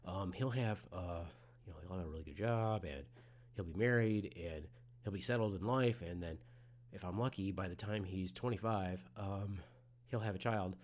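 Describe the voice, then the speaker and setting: Brief: man in his forties, podcast host, low-ish voice, swears freely, shifts between loud outbursts and quiet reflection. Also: normal speed. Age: 40s. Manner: frank and profane, swinging from loud outbursts to quiet musing. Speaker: podcast host in the medical field. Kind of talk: casual solo podcast monologue.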